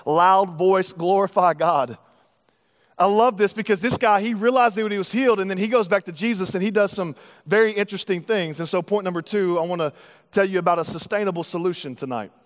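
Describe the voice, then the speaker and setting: High-voiced pastor preaching in a hall. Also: male voice. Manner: emphatic, rising to loud preaching. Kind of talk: sermon. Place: church auditorium.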